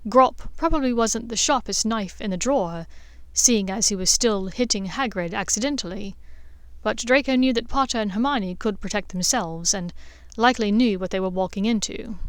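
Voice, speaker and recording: A woman's voice: medium pitch, moderate pace, clear, resonant tone, dry recording. A woman reading a scripted story as an audiobook narrator. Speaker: audiobook narrator of fan fiction.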